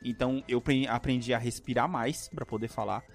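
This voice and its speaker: Fast-talking, slangy Brazilian podcast host. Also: male voice, mid-range voice, steady tone, gravelly. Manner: slangy, rambling, many fillers. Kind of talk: casual chat. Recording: faint music behind.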